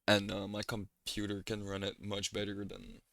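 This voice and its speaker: A man's voice: on the low side, monotone, moderate pace, fairly bright tone, no room sound. A man hosting a let's play, chatting casually in a laid-back way. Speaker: gaming video host. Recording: faint background noise.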